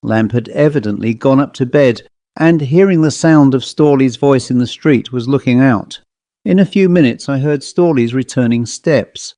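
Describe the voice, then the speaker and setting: A man narrating a ghost story as an audiobook, with a measured, literary delivery. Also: mid-range voice, dark tone, no room sound.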